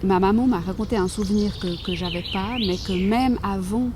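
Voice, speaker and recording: A woman writer answering interview questions in a thoughtful, halting way, full of pauses and restarts. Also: mid-range voice, noisy background. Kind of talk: reflective interview talk.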